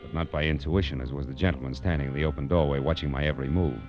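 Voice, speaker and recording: An actor playing a wisecracking, sardonic American private detective in an old-time radio drama. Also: male voice, a bass voice, steady tone, racing, over music. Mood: wry narration. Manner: dry, sardonic, slangy. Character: wisecracking, hard-boiled male private detective. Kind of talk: 1940s radio detective drama acting with first-person narration. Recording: dull, lo-fi vintage radio recording.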